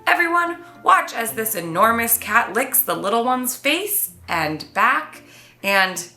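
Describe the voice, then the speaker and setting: Woman voicing an excitable baby kitten safari guide, bright. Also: a little high, slow pace, reverberant, soft background music, full character voice. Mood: excited. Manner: theatrical tour-guide patter, exclaiming.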